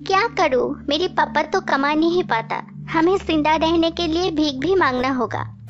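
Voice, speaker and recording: A performer voicing a dutiful, caring, honest poor little girl in an animated moral story. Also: sits high, a little slow. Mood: earnest. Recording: muffled audio, prominent background music.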